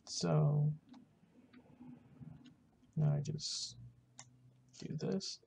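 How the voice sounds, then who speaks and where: A gamer giving casual, halting roleplay commentary, muttering to themself while playing, recorded on a noisy home mic with keyboard clicks. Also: medium pitch, huge pitch swings, vocal fry, warm tone. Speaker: gaming video host. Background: background noise, keyboard typing and clicking.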